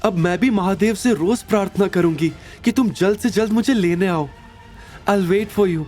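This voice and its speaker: Man voicing a high, devoted young girl. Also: moderate pace, a little mellow, faint music behind, close to an everyday voice. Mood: tender, hopeful. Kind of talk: Hindi audio drama acting.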